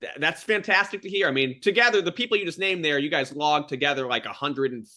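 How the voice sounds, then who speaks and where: A man's voice: fairly high pitch, quick pace, pushed and bright. A man hosting a casual conversational interview, speaking in an enthusiastic, affirming manner. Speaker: podcast host interviewing a former colleague; school teacher.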